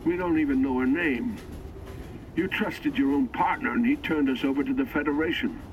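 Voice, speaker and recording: A man's voice: medium pitch, normal speed, a little hiss or hum, natural voice, poor audio. A man playing a wary, exasperated everyman on the run in an audio drama, informal and questioning. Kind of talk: scripted audio drama acting.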